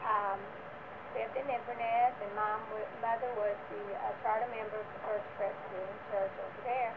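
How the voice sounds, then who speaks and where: Older woman, gravelly, a bit high, plain-spoken, tinny recording with noticeable hiss. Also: fairly even intonation, moderate pace. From Florida. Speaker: church member guest. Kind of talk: casual personal account.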